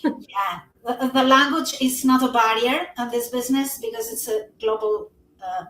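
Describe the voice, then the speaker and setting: A woman's voice: high voice, big pitch swings, moderate pace, a bit bright, slight background noise. Woman, 40s, earnest, lots of uhs, echoey room, kinda far from the mic. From Albania. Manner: earnest, non-native English with frequent fillers. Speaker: guest. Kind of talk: casual conversation.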